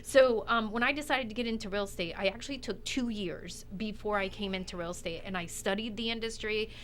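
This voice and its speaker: A woman speaking as an interview guest, telling stories in an animated, informal, self-deprecating conversational way. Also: medium pitch, brisk, a little hiss or hum. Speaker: guest, real estate executive.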